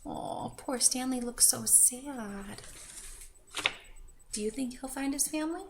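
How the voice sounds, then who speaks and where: A woman reading a children's picture book aloud expressively, with questioning asides to young listeners and drawn-out emphasis. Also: high-pitched, noisy background. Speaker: children's story reader.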